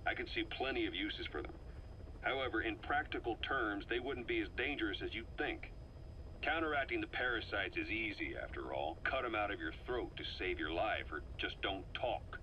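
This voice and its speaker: Forceful, low-voiced intelligence officer. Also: male voice, lively intonation, low-quality recording. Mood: analytical, emphatic. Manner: analytical, emphatic, deliberate. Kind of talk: scripted briefing monologue in a video game. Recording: faint noise, cassette-style recording.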